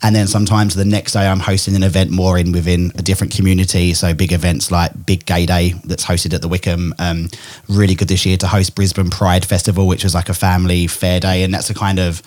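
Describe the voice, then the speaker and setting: A man's voice: sits low, level tone, darkish tone. A man in his thirties from England with a mixed English and Australian accent, chatting casually and joking at his own expense in a podcast interview at home. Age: 30s. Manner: chatty, self-deprecating, quick to joke. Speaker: event host and podcaster.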